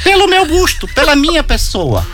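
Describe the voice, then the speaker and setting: A man's voice: very high voice, wildly swooping pitch, normal speed, a bit bright, soft background music, pitched above the performer's own voice, heavily acted. A man putting on a vain, boastful fifty-year-old. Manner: boastful, camp, teasing. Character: vain flirtatious man of fifty, full of himself.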